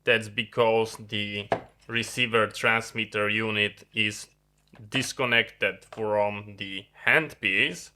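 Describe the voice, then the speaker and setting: A man who is a non-native English speaker, giving a halting, unscripted hands-on explanation of a piece of gear as a video host. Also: on the low side, fairly even intonation, bright, forceful tone. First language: not English. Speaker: host of an electronics review channel. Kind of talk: unscripted hands-on product demonstration. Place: indoor electronics workbench.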